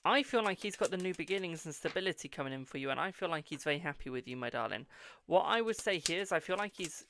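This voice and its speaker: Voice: mid-range voice, animated, fast talker, a bit bright, slight background noise. A tarot reader from England with a posh-sounding English accent, hosting a live stream, chatting casually, swearing freely and calling viewers "my darling". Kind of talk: live-stream card reading and casual chat.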